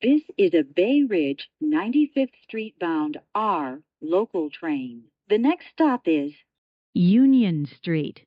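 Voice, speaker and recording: Female subway announcer, lowish voice with swooping intonation, clipped segmented phrasing, dry lo-fi recording. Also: sing-song, slow pace, no room sound. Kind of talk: scripted automated transit announcement. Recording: dull lo-fi transit announcement audio.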